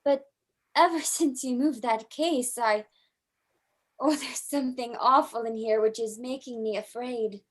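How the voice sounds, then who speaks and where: An actress playing a young daughter, trembling and fearful. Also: female voice, on the high side, unhurried. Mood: pleading. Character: frightened young daughter Edith. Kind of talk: old time radio drama acting.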